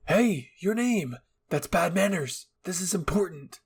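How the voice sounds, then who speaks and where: Casting: a man playing a boyish fan-fiction hero, playful and earnest, quick to fluster. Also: high-pitched, lively intonation, vocal fry, husky, pitched above the performer's own voice, exaggerated voice.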